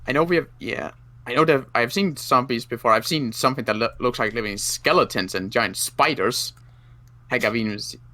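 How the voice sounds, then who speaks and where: A man playing a wounded sniper survivor in an improvised zombie roleplay game, speaking in a halting, defensive way. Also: mid-range voice, bright, forceful tone, dry recording, close to an everyday voice. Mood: matter-of-fact.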